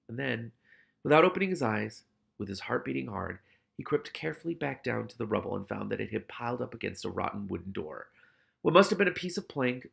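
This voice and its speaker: A man's voice: fairly low pitch, creaky, fairly bright tone. A man reading a novel aloud expressively, with casual, candid asides of his own.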